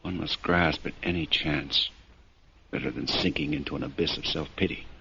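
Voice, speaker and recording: A man's voice: rumbling low, steady tone, normal speed, vocal fry, pushed and bright, a little hiss or hum, full character voice. A man playing a weary lord who crusades for justice in a radio drama, impassioned and bitterly sardonic, on a dull vintage recording. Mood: despairing. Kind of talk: golden-age radio drama acting.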